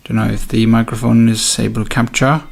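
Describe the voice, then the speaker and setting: A man talks through a product demonstration in an informal, hands-on way. His voice has a creaky, fried edge at an ordinary male pitch. He thinks aloud as he goes, with light music around the recording. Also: flat delivery, brisk, a little mellow. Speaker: product reviewer. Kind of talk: casual unboxing and review commentary.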